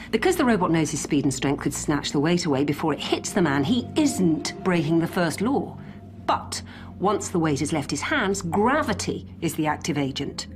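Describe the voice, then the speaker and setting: A woman playing a shrewd, blunt lawyer and robot expert in a radio drama, probing with exacting authority. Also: on the low side.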